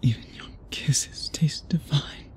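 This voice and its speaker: A man playing an obsessive, courtly vampire suitor in an intimate ASMR roleplay, breathy and husky, with formal old-fashioned phrasing. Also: slow, darkish tone, close to an everyday voice. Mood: strained, hungry longing. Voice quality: breathy, husky, often whispery.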